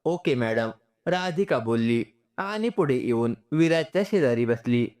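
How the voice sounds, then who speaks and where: A man's voice: a little high, expressive, moderate pace, darkish tone. A man reading a scripted, sentimental story as its narrator.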